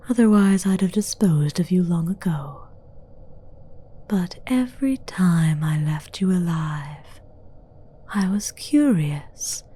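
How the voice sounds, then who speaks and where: A woman voicing an imperious, taunting demon queen in a slow, condescending, seductively menacing whisper for a fantasy ASMR roleplay. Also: lowish voice, warm tone, heavily acted. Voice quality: breathy, mostly whispered.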